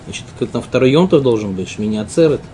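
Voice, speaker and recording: A man's voice: medium pitch, lively intonation, fast, dark tone, a little hiss or hum. A man speaking as a student in an informal class discussion, tentatively putting questions and repeating words.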